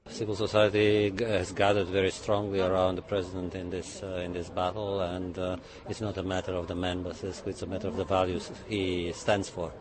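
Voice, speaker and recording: A man's voice: sits low, little pitch movement, fairly quick, hardly any pauses, a crowd in the background. A man giving an interview comment, speaking hesitantly with fillers. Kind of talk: spoken interview clip.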